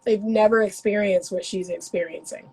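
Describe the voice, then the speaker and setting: A woman's voice: mid-range voice, fairly even intonation, normal speed, a little mellow, slightly rough, rough recording. A woman, congested from a cold, giving casual relationship-coaching commentary to her viewers, emphatic and repeating her points.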